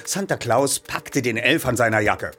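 A man presenting and reading a story aloud in a lively narrating style. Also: mid-range voice, moderate pace, soft background music. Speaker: audiobook reader and presenter.

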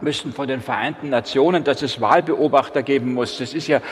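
Very raspy man in a reverberant hall. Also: medium pitch. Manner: interjecting, agreeing briskly. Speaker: debate panelist. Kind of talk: live panel debate. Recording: long hall reverb, off-mic.

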